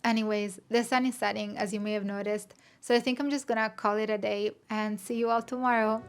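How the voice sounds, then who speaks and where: A woman's voice: mid-range voice, fairly even intonation, fairly quick. A woman in her thirties from Italy, with an Italian accent, chatting candidly and self-deprecatingly to camera in a writing vlog. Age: 30s. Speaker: vlogger. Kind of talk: casual chat to camera.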